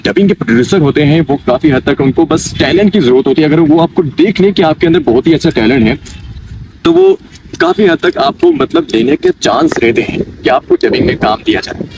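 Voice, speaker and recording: A man's voice: a little high, vocal fry. A young man from Kishtwar in India giving earnest, repetitive explanations in an informal interview. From Kishtwar, Jammu and Kashmir, India. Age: young adult. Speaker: interviewee, amateur dubbing and mimicry artist. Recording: noisy outdoor recording, distant mic, traffic.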